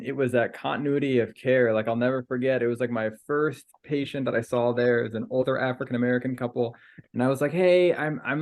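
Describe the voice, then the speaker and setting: A man, a family physician, speaking on a panel in a relaxed, earnest storytelling way over a video call. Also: mid-range voice. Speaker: family physician, program director. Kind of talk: casual panel talk.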